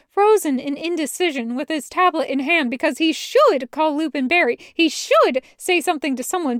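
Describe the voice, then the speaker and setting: A woman narrates a story from a script in an expressive reading style. Her voice sits high, and the recording is dry and close with little room sound. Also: no room sound. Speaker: podfic reader narrating fan fiction.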